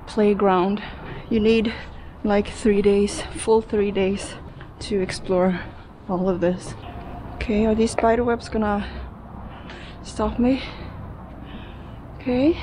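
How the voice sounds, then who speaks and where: A young adult woman talking casually to her handheld camera, thinking aloud in fragments as she explores. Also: medium pitch. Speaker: urban explorer vlogger. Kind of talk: casual vlog commentary while exploring. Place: abandoned steel factory, indoors and out. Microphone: handheld action camera. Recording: noisy handheld action camera audio.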